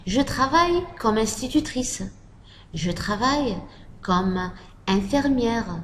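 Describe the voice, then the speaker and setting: A woman giving a French lesson, talking slowly and repeating model phrases for learners. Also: a little high, lively intonation, a little hiss or hum. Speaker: French teacher. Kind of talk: slow spoken language lesson.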